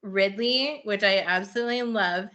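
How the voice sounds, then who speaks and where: A woman with a bright, forward voice hosts a relaxed conversational interview. She is chatty and keen, speaking in an informal, friendly back-and-forth style at a medium pitch. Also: normal speed, lo-fi sound. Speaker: podcast host. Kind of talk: casual book chat interview.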